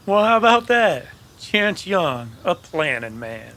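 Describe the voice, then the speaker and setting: A man playing a wry, steady Old West bounty hunter in a radio western, drawling and sardonic. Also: high-pitched, takes it slowly, voice pushed up, exaggerated voice. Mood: wry, easygoing. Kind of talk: old-time western radio drama acting.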